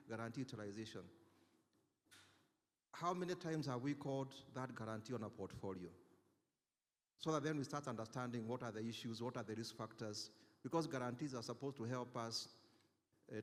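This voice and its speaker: A man on a conference panel giving a careful, deliberate answer, often repeating words as he thinks. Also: medium pitch. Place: conference hall. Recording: long hall reverb.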